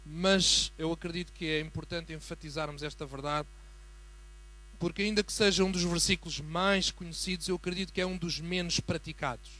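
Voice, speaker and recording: A man with a European Portuguese accent preaching as a guest in a church, building from a quiet greeting to fervent emphasis. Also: on the high side, fairly even intonation, brisk, rough recording. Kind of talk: sermon.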